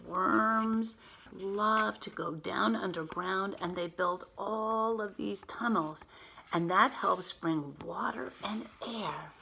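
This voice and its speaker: A woman teacher reading a picture book aloud to young children in an expressive way, pausing to explain things simply with emphasized words. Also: mid-range voice, vocal fry, breathy edge.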